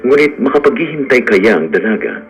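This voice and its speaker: A man's voice: mid-range voice, very animated, brisk, faint music behind, rough recording. A man narrating a radio drama in a dramatic scripted style.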